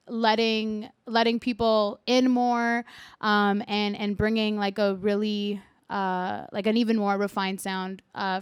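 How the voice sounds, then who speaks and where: A woman singer from Canada with a loud, projecting voice, chatting casually as an interview guest. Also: medium pitch, clean tone, no room sound, clean studio sound.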